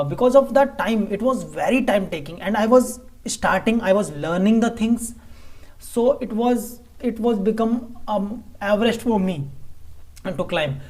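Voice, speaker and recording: A man with an Indian English accent talks casually to camera in a vlog. He is a native Hindi speaker, and his delivery is halting, with frequent stumbles and restarted phrases. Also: high voice, darkish tone. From Delhi, India. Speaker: vlogger.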